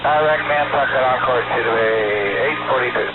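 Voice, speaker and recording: A man talks as an airline pilot in short, terse readbacks. His voice comes through a cockpit radio, thin and phone-like. Very loud static and noise cover the transmission. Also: medium pitch, normal speed. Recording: tinny, very noisy aviation radio.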